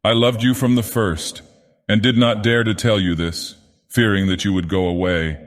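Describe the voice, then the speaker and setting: A man's voice: lowish voice, fairly even intonation, moderate pace, lightly acted. A man voicing a distraught young woman, pleading in a strained, trembling voice. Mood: anguished. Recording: long reverb.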